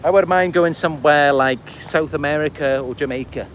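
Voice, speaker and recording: A man from Wales with a Welsh accent, talking casually and a little haltingly in a rambling livestream monologue. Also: a little high, slight background noise. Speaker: livestream host and content creator. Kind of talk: casual livestream monologue, answering viewer comments.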